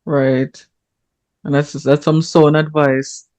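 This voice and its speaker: A man's voice: a little high, flat delivery, slow, a little mellow. A man hosting a podcast, listening attentively, affirming often and asking interview questions. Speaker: podcast host. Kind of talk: interviewing, casual chat.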